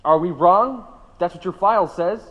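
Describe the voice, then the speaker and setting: An actor playing a stern but polite government agent, clipped and insistent, in an audio drama interrogation. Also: male voice, high voice, normal speed, lightly acted. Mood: probing. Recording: muffled, reverberant room sound.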